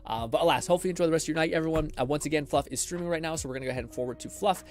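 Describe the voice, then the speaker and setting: A man's voice: on the high side, very fast, darkish tone. A man live streaming, explaining things in a casual, rambling way with self-deprecating swearing. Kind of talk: casual rambling stream talk with tutorial advice.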